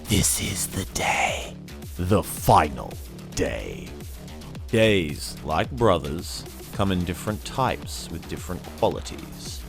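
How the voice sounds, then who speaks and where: A man reading dark science fantasy as dramatic narration, with theatrical, menacing emphasis and deliberate pauses. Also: low-pitched, very animated, gravelly. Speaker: narrator reading an audiobook excerpt. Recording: music under the voice. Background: dramatic background music.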